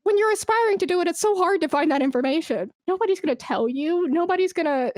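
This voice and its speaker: A woman's voice: very high-pitched, animated, fast talker, darkish tone, dry recording, studio quality. A woman guest in a conversational interview, giving career advice in an informal, encouraging and emphatic way. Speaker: podcast guest, esports brand and community manager.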